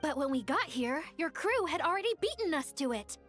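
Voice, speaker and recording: A woman's voice: high voice, very animated, brisk, a bit bright, faint music behind. A woman voicing a perky, theatrical, confident young actress in a video game. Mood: upbeat.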